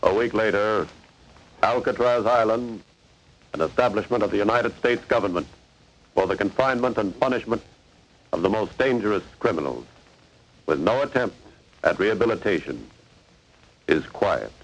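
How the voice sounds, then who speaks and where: A man's voice: lowish voice, lively intonation, normal speed, pauses often, rough recording. A man as a grave, formal, dramatic narrator of a 1940s radio crime drama. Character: grave, dramatic male documentary-style narrator.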